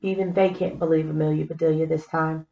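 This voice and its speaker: A woman's voice: low voice, level tone, a little fast, creaky, reverberant, lo-fi sound. A woman reading a children's story aloud, with explanatory asides to young listeners. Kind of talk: scripted storybook narration with simple asides.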